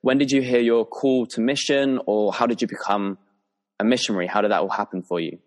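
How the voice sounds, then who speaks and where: A man's voice: mid-range voice, steady tone, fairly quick. Young English man hosting a podcast. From England. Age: young adult. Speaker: podcast host. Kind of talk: casual podcast interview.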